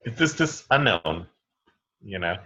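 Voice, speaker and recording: A man's voice: on the low side, very animated, unhurried, pushed and bright, breathy edge. A man from India, candidly sharing his experience in a rambling, easygoing way over a video call. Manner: candid, rambling, laughs easily. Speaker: participant. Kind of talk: personal sharing. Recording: lo-fi web call audio.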